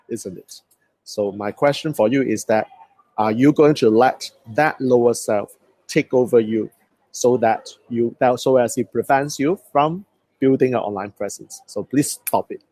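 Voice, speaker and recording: A man's voice: medium pitch, dark tone. A man from Hong Kong with a Hong Kong accent, a native Cantonese speaker, giving a motivational coaching talk on a live stream and putting questions to his viewers. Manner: motivational, asks the audience questions.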